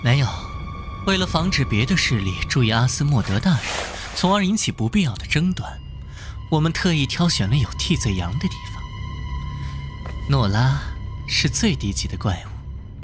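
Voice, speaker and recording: A man playing a servile, calculating cult villain in an animated drama, theatrical and conspiratorial. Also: mid-range voice, normal speed, music in the background, lightly acted. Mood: sinister, scheming. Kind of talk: Chinese animated drama voice acting.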